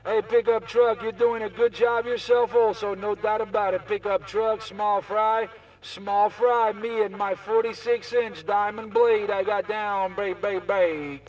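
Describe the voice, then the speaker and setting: High-pitched man bantering on CB radio. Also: steady tone, measured pace, recorded from a distance, poor audio. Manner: repetitive, showy, boastful. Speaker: CB radio operator. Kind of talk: CB radio banter in repeated chanted catchphrases. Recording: CB radio chatter, off the mic.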